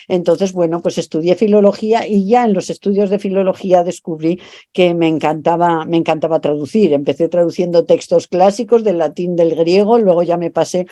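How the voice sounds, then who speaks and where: A woman's voice: lowish voice, steady tone, a little fast, dark tone, no room sound, studio quality. A middle-aged woman from Spain with a Spanish accent, a translator and professor speaking in an interview, thoughtful and often repeating words while she searches for her phrasing. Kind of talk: interview over video call.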